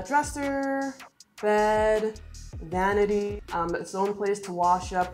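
A woman's voice: medium pitch, a little mellow. A young woman vlogger chatting to the camera indoors, slightly congested and recovering her voice, talking directly to her viewers. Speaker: beauty vlogger. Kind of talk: casual vlog tour narration.

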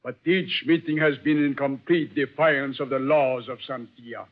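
A man's voice: medium pitch, fairly even intonation, moderate pace, lightly acted. An actor playing a stern, accusing king and father in a vintage radio drama, speaking in solemn, pompous, formal phrases. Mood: stern, grave. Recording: dull old-time radio recording.

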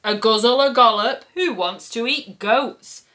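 A greedy storybook troll, played by a woman, ravenous for children. The delivery is slow and forceful, savouring each word in bouncy rhyme with grunts and growls. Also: fairly high pitch. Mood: gloating chant. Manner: gobbling, relishing, grunting and growling. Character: greedy, hungry troll who relishes eating children. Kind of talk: rhyming children's storybook character voice.

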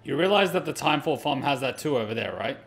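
A man's voice: medium pitch, expressive, fast talker, creaky, a bit bright, a little hiss or hum. A man giving casual gameplay commentary as a streamer, talking to himself and swearing now and then. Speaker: game streamer.

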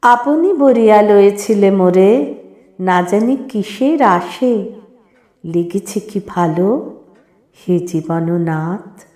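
Woman, poetry reciter, measured declamation, very drawn-out phrases, reverberant sound. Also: medium pitch, expressive, dark tone. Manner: unhurried, phrase-by-phrase declamation with pauses. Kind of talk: expressive, measured poem recitation.

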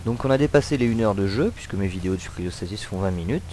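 A man with a cold talks his way through a video game, rambling and full of hesitant fillers. His voice sits slightly low, and clear game noise runs under it. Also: expressive, fast talker, a little mellow. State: has a cold. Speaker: let's play commentator. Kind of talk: casual gameplay commentary.

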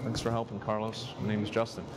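A man's voice: level tone, quick pace. A man in his twenties talking casually as a close-up street magician, explaining and performing. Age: 20s. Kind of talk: interview talk and casual performance patter. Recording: music underneath, subway train rumble.

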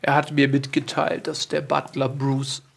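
A man's voice: fairly high pitch, level tone, measured pace, gravelly, husky, full character voice. A man playing a foppish, wealthy lord in an improvised audio drama, pompous, formal and condescending. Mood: formal, conspiratorial. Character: smug, rich, foppish English lord.

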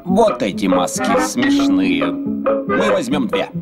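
A man voicing a calm, middle-aged gentleman adventurer in a cartoon dub, composed, instructive and decisive. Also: on the low side, slow pace, full character voice. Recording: loud music behind the voice.

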